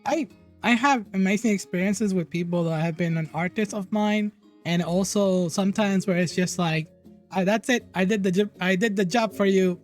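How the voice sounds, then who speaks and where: A host with a Puerto Rican accent keeps an online chat show loose and playful. The voice sits at a middle pitch, and the host laughs often while teasing the guest. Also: moderate pace, faint music behind. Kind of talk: casual interview chat.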